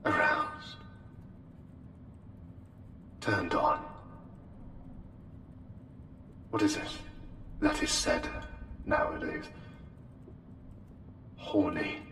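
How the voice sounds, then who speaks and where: Over music and in long, echoing reverb comes a courtly, menacing plague doctor. The voice is low, with formal, haughty, deliberate phrasing broken by pauses, as intimate ASMR roleplay. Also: male voice, huge pitch swings, a bit bright, slight background noise, exaggerated voice. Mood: disdainful, musing.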